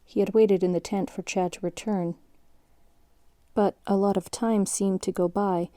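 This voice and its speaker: A woman playing a sober chronicler, narrating a scripted account in a measured, factual manner. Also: mid-range voice, fairly even intonation, mellow, dry recording, natural voice. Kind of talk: scripted documentary-like story narration.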